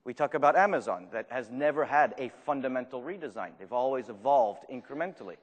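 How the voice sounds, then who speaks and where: A man's voice: medium pitch, expressive, fast talker. A man with a French accent and Spanish as his first language gives a live conference talk, joking and putting questions to the audience. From Argentina. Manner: self-deprecating, jokey, asks the audience questions. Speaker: conference speaker. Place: large conference room. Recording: reverberant hall.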